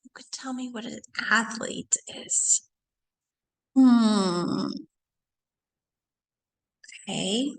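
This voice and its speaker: A woman's voice: a little high, very slow, pauses often, low-quality recording. A woman teacher reading aloud to children, stopping to ask questions and explain things in a didactic way. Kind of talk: read-aloud lesson for children with asides and questions.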